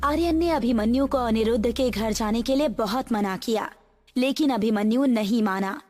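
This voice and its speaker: Woman narrator, fairly high voice. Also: normal speed, a little mellow. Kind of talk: scripted horror story narration. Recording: music behind the voice.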